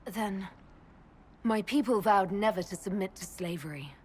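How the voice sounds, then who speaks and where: An actress playing a proud, wounded young elf mage in love, speaking earnestly and directly in a video game. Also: female voice, mid-range voice, measured pace, slightly rough. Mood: resolute. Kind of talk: video game cutscene acting.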